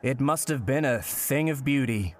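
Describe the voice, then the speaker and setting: A man playing a courteous, reserved listener with polite, measured, formal speech in an audio drama. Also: mid-range voice, natural voice. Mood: politely curious. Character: courteous, reserved male listener with an ailing face. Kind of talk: period audio drama dialogue.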